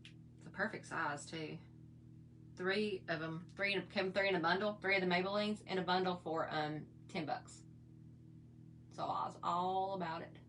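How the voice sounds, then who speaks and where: A middle-aged woman with a slightly hoarse voice, chatting in a folksy, rambling way while she shows her makeup routine. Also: on the low side, fairly even intonation, moderate pace, fairly bright tone, faint music behind. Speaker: makeup vlogger. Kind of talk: casual makeup tutorial chat.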